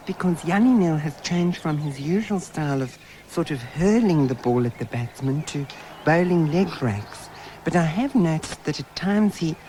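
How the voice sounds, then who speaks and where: A man's voice: fairly high pitch, warm tone, slight background noise, close to an everyday voice. A man playing a peeved, earnest woman cricket commentator in a 1970s radio comedy.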